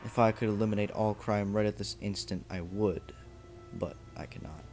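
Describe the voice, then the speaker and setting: A man acting calm suave vigilante, low-pitched voice, amateur radio drama, music behind. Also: moderate pace, close to an everyday voice. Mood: earnest, measured.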